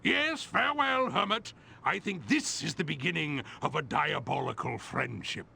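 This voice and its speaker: A man's voice: a little high, expressive, normal speed, vocal fry, bright, forceful tone, husky, full character voice. A man voicing a pompous, melodramatic undersea supervillain in a cartoon game, theatrical and grandiose. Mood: triumphant. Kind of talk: video game cartoon voice acting.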